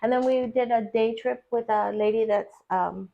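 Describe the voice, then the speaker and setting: A woman's voice: fairly high pitch, steady tone, normal speed, creaky, dull audio. A middle-aged woman, a podcast guest, chatting casually and rambling through stories with easy laughs. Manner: chatty, rambling storyteller who laughs easily. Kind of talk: casual conversation.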